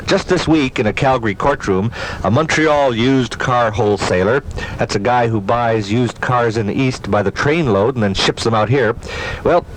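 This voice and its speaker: A man's voice: medium pitch, moderate pace. A man giving a folksy scripted radio commentary, speaking directly to listeners. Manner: conversational, addresses listeners as friends. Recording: noisy old 1970s radio aircheck.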